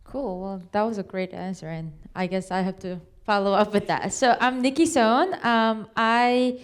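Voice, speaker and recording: A woman from Korea, speaking English as her second language, talks on a panel. Her voice is smooth and clear, and her delivery is relaxed and thoughtful, with a few fillers. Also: medium pitch, normal speed. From South Korea. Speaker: composer. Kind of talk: panel discussion.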